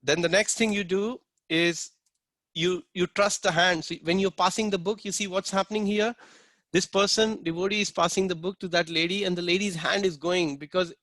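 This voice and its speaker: A man with a high voice teaches an online class in an earnest, instructive way, now and then acting out short street dialogues. The sound is dry and close, with no room around it, as on a video call. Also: fairly even intonation, normal speed, a bit bright, no room sound. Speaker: book distribution instructor.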